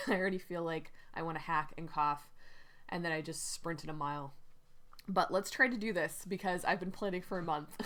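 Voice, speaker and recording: Stream host, woman, lowish voice, hoarse with a head cold, rambling and chatty, casual desk-mic sound. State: stuffy, runny nose. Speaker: host of a writing livestream. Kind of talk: casual live-stream talk.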